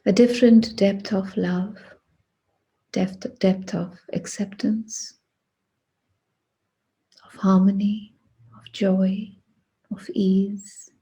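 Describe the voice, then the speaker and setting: Woman doing guided meditation, super slow w long pauses, really breathy husky hushed voice. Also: mid-range voice, little pitch movement, dull audio. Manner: hushed, deliberate, with long pauses between short phrases.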